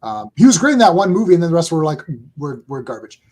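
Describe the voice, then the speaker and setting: A man with a fairly high, husky voice hosts a casual podcast conversation. He talks emphatically and won't budge on his opinions. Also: very animated, fast talker, darkish tone. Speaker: host.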